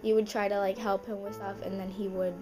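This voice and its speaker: A woman's voice: medium pitch, level tone, brisk, over music. A teenage girl speaking simply and directly in a documentary interview.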